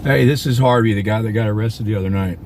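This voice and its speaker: A man's voice: lowish voice, quick pace, a little mellow, slight background noise. An American man talking casually and directly, putting pointed questions as he goes. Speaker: citizen police auditor and channel host. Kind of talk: casual confrontational street talk and phone chat.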